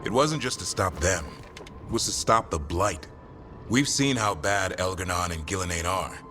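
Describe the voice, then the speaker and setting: Casting: blunt warrior companion in a game, very low yet sharp voice, matter-of-fact. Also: male voice, moderate pace, soft background music. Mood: blunt, grave. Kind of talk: video game cutscene dialogue.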